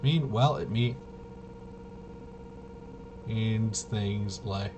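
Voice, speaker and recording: A man giving a casual, unscripted walkthrough as a host, rambling and halting, with muttered asides to himself. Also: fairly low pitch, highs cut off, noisy background. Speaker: host of a tabletop RPG review video.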